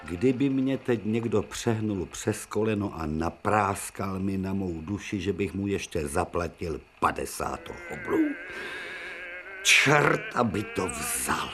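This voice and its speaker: An actor playing a proud, sardonic aging professor surgeon in a radio drama, talking theatrically with bursts of irony. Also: male voice, deep voice, lively intonation, over music. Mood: exasperated. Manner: theatrical, ironic, hums and whispers. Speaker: Professor Preobrazhensky. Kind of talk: 1990 radio drama acting.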